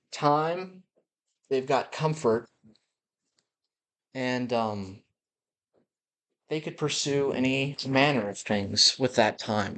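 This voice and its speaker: A man's voice: mid-range voice, a bit bright. A man with a slight lisp rambling through a personal audio journal in a musing, self-deprecating way, recorded on a built-in laptop mic while walking around a house. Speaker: vlogger. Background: dogs' claws clicking.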